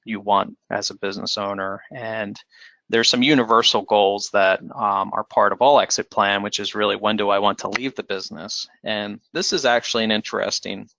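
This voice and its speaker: A low-voiced man with a gravelly edge, presenting a business webinar in a measured, explanatory way. His delivery is bright and forceful despite the many "um"s and restarts. The audio is dry and somewhat dull, like lo-fi webinar sound. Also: fairly even intonation, no echo. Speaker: financial advisor presenting.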